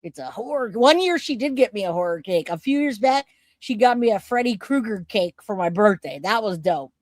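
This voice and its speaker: A woman in her forties chats to her livestream viewers in a casual, slangy style. She is animated and quick to joke, with a medium-pitched voice and a dry, close sound. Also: dry recording. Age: 40s. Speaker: livestream host reacting to news.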